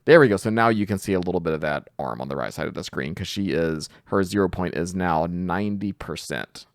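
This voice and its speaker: A man giving an informal screen-share software tutorial, thinking aloud hesitantly as he goes. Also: deep voice, brisk, dry recording, studio quality. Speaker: tutorial host, coding instructor.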